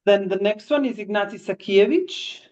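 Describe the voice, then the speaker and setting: A woman's voice: mid-range voice, normal speed, a little mellow. Woman moderating over lo-fi call audio. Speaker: workshop moderator. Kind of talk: calling on participants in a meeting.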